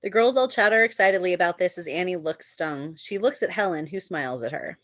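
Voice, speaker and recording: A woman's voice: lowish voice, a little fast, a bit bright, no echo, highs cut off. A woman narrating a screenplay's stage directions for a table read.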